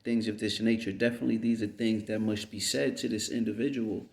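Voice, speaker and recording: Low flat-voiced man in an echoey room. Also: creaky. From United States. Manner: unhurried, rambling, frequent "you know what I mean". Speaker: vlogger. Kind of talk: reflective spiritual monologue. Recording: roomy echo.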